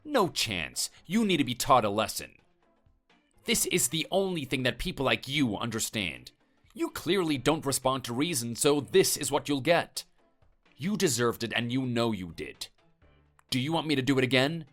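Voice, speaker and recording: A man's voice: medium pitch, big pitch swings, normal speed, a bit bright, faint music behind. A man playing a stern, threatening husband who lectures and intimidates his neighbor. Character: stern, menacing husband defending his wife.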